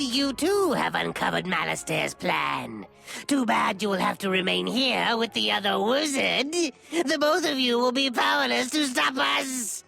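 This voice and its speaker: A woman playing a gloating undead villain lord in a video game, gravelly, slow and menacing. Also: on the high side, fairly bright tone, heavily acted. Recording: game music behind. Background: music.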